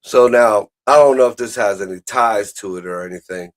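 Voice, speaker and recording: A man's voice: lowish voice, normal speed, no room sound. Blunt, rambling man in casual talk, dry sound. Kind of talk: casual political chat.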